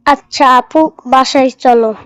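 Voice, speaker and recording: High-pitched polite little boy voiced by a woman. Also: fairly even intonation, measured pace, fairly bright tone, dry recording, studio quality. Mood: happy, eager. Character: polite, eager young boy, obedient son. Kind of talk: Islamic moral cartoon dialogue.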